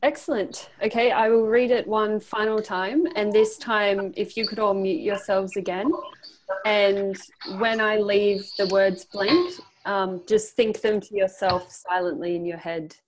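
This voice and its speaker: A woman's voice: mid-range voice, fairly even intonation, a little slow, noisy background. Encouraging young woman leading a group exercise. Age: young adult. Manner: encouraging, affirming. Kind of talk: coaching a group on a video call, reading a prepared pitch aloud. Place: small indoor room, on a group video call. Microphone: computer microphone on a video call.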